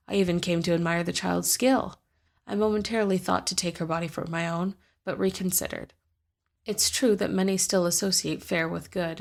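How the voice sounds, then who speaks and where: A woman host reading a first-person fantasy story aloud in a measured, deliberate way. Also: on the low side, creaky.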